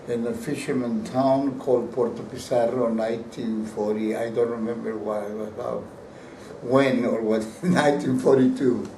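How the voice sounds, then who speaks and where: Older man, from Peru, Spanish first language, rambling interview answers, warm mellow tone, heard from across the room. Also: mid-range voice. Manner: rambling, with restarts and repeated words. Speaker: painter being interviewed. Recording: roomy, distant mic, faint background hiss.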